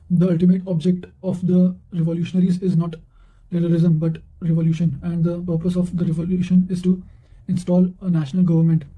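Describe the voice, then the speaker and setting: A man with a high voice reads quotations from revision notes. His tone is very soft-edged and dark, and his delivery stays measured and fairly flat, with an occasional stumble over a word. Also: low-quality recording. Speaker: narrator of study revision notes. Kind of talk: reading quotations aloud from notes.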